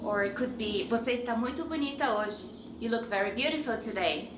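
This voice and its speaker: A young woman hosting a language lesson outdoors, explaining softly with gestures. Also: fairly high pitch, brisk, echoey room, noisy background. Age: young adult. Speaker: host. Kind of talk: scripted lesson presentation. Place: outdoors by a tree and wall.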